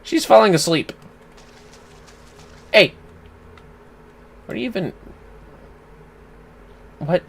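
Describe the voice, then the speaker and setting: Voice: medium pitch, animated, slow pace, gravelly, pauses often, fairly bright tone, slightly rough. A livestreamer chatting casually over a game, with wry, sweary grumbling. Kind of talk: casual game stream chat.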